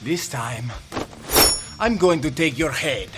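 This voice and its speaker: A man's voice: a little high, animated, slow pace. A man voicing a proud, easily offended vampire mage duellist in an audio roleplay, grandiose, indignant and prone to swearing. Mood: menacing. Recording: music behind the voice.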